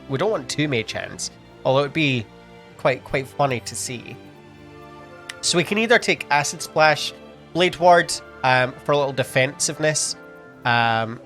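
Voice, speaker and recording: A sniffly man of ordinary pitch muses through his gameplay choices, hesitating and filling pauses as he thinks aloud. His casual commentary sits over faint background music. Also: a bit bright. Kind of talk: casual let's-play commentary.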